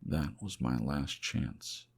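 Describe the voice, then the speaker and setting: A man playing a weary, reflective man recounting his memories in an audiobook, halting and musing. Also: extremely low pitch, lively intonation, vocal fry, full character voice. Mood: wistful musing. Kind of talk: audiobook character voice.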